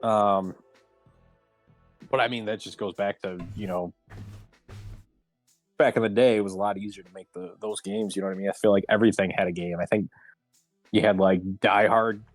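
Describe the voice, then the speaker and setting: A man chatting casually as a panelist in a group discussion, often pausing as he searches for what to say. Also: lowish voice, steady tone. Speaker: round table panelist. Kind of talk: casual group discussion about games and films. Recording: roomy echo, music underneath.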